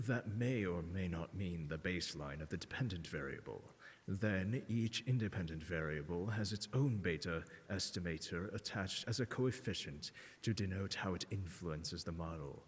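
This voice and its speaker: Man acting a haughty male scholar, deep husky voice, pompous, teasing, seductive, ASMR roleplay. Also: exaggerated voice. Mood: lecturing. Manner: pompous, drawling, teasing, deliberate emphasis. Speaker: audio roleplay performer. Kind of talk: ASMR roleplay, scripted lecture to the listener. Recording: long hall-like reverb.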